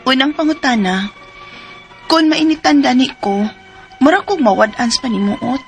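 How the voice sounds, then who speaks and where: A troubled teenage schoolgirl with a Cebuano accent. Her voice is high and plaintive, acted for radio drama, with music clearly underneath. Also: lively intonation, normal speed. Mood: troubled, earnest.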